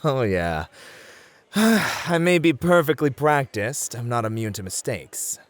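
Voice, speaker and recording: An actor playing a beefy, experienced club host, a flirty and politely teasing charmer in a scripted audio roleplay. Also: male voice, medium pitch, big pitch swings, slow pace, no room sound. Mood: composed, explaining.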